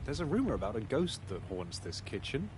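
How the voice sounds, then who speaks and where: A formal, deliberate detective pig played by a man, musing politely over his case in a video game. His mid-range voice swings expressively, with clearly audible noise and ambience behind it. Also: medium pitch, wildly swooping pitch. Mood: measured, formal. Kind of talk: scripted video game character acting.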